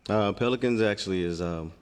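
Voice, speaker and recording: A man's voice: on the low side, fairly quick, clear, resonant tone. A man from South Carolina, a business owner guest on a local radio show, talking easily with many ums. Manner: easygoing, agreeable, many ums. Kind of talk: casual radio interview.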